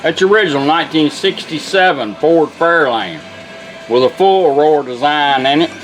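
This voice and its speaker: A man's voice: a little high, moderate pace, rough recording. Folksy man over music. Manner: folksy, plain-spoken, instructional. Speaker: vintage car radio technician demonstrating a product. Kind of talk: casual hands-on product demonstration. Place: indoor workshop bench. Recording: music and radio playing behind. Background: music from the demonstrated radio.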